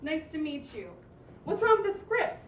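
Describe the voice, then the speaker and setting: A woman's voice: very high-pitched, very animated, normal speed, a bit bright, reverberant, far from the mic, slight background noise, full character voice. A woman playing an exasperated, blunt young female movie producer in an amateur script table read. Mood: businesslike, doubtful.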